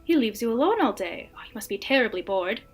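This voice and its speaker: A woman's voice: fairly high pitch, sing-song, fast, faint music behind. A woman playing a genteel, flirtatious 1950s housewife in an audio drama, gracious and coy, with leading questions. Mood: coy, probing.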